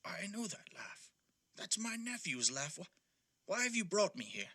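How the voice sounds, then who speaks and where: An actor playing an anxious, humbled old miser in an audio play, pleading in a husky voice. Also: male voice, high-pitched, sing-song, normal speed, pushed and bright, full character voice. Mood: uneasy.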